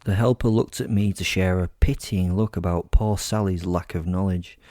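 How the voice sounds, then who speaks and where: Casting: male narrator for horror drama, low and dark-toned, sardonic, very dry close sound. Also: steady tone, normal speed, no echo. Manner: sardonic, wry, brooding. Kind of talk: first-person dramatic storytelling in character.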